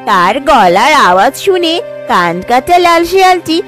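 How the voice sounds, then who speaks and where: A woman's voice: sits high, very animated, measured pace, a bit bright, soft background music. A woman narrating a scripted children's story as storyteller.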